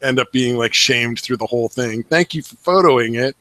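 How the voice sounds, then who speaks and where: A man with a mid-pitched, brightly edged voice chats as a podcast co-host. His delivery is casual and wry, built on joking asides and reactions. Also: normal speed, dry recording. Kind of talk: casual comic chat.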